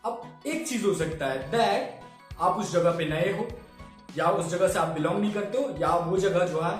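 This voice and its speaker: High-voiced teacher in echoey room. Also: male voice, a little slow, soft background music. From Bihar, India. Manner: mixes Hindi and English, asks "samajh mein?". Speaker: English teacher. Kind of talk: classroom lesson.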